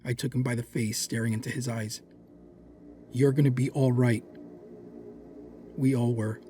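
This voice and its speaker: Male dark warrior, performed by an actor: proud, hot-tempered, formal and grave, middle register, audio drama narration with soft underscore. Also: medium pitch, steady tone, moderate pace, soft background music, natural voice. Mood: brooding. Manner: formal, grave, theatrical phrasing.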